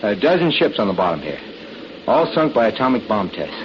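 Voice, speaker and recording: An actor playing a steady, determined castaway who takes charge in an old-time radio drama, speaking deliberately. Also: male voice, on the low side. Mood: grave, revelatory. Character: steady, determined man stranded on a tropical island. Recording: noisy, muffled vintage radio recording.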